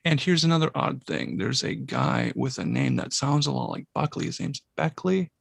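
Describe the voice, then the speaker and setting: A man's voice: mid-range voice, wildly swooping pitch, normal speed, creaky, no room sound, clean studio sound. A man co-hosting a podcast, musing at length about synchronicities in casual talk. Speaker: podcast co-host.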